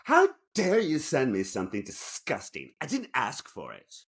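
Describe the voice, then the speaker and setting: Man playing an indignant, animated aggrieved woman. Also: medium pitch, normal speed, husky, exaggerated voice. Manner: mock-outraged, theatrical.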